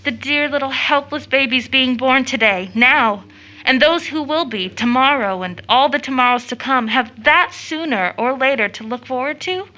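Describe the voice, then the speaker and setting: An actress playing an earnest, idealistic young daughter in a stage-style audio drama, speaking with emphatic, declamatory feeling. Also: female voice, high voice, normal speed, sharp tone, rough recording. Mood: troubled, building.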